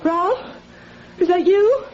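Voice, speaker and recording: A woman's voice: extremely high pitch, expressive, slow, background noise, full character voice. An actress playing an anxious, guilt-ridden married woman in a radio drama, speaking with hesitant, defensive phrasing. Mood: flustered, uneasy. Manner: hesitant, faltering. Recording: dull radio-drama recording.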